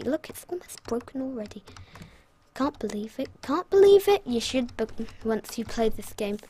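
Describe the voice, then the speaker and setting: A woman's voice: fairly high pitch, darkish tone, a little hiss or hum. A young British woman chatting casually through her gameplay, rambling with self-mocking asides. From Britain. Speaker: gaming channel host.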